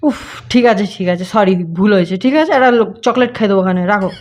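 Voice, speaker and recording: A man's voice: high-pitched, rapid-fire. A young man, chatting casually on a prank call in a hesitant, teasing way. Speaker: prank caller and video host.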